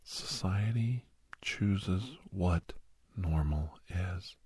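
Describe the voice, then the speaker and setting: A man playing a doctor who counsels a young person in an ASMR roleplay, mostly whispering in a slow, breathy, reassuring but firm manner. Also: deep voice, a little mellow, dry recording, exaggerated voice. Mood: firm, apologetic. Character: male doctor gently counselling a young werewolf for college.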